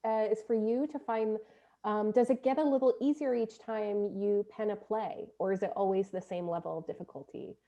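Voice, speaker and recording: A woman with an average-pitched voice moderates an informal arts panel. She chats casually and reads out questions for the other speakers. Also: steady tone, normal speed, mellow, muffled recording. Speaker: theatre director moderating questions.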